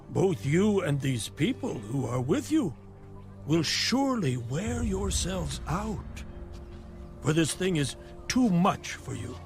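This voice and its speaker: An earnest elder priest in scripture radio drama, higher-pitched, unhurried and solemn, over music. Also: male voice, exaggerated voice. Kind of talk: audio Bible drama acting.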